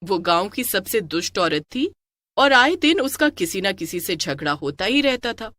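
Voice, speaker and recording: A woman's voice: medium pitch, very animated, normal speed, fairly bright tone. A woman narrating a scripted moral tale as a dramatic storyteller.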